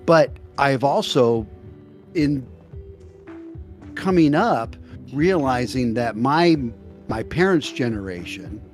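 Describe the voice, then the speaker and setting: A man's voice: medium pitch, expressive, takes it slowly, pauses often, soft background music. A man of fifty-three co-hosting a casual livestream, speaking earnestly and checking in with his listener. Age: 50s. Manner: earnest, checks in with "okay?" and "right?". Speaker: livestream co-host. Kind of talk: casual chat.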